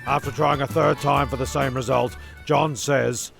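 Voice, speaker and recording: A man narrates a comic script in a wry tone. His mid-pitched voice is extremely gravelly and fried, with music playing clearly behind it. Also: steady tone, normal speed.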